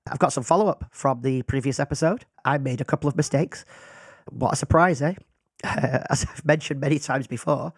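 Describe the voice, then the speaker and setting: A man's voice: medium pitch, normal speed, breathy edge, dry recording. A man who is losing his voice, hosting a casual solo podcast in a self-deprecating, rambling way. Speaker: podcast host. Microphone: podcast microphone.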